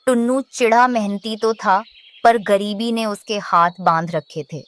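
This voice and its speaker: A woman's voice: mid-range voice, fairly even intonation, unhurried, slight background noise. A woman narrating a scripted children's story.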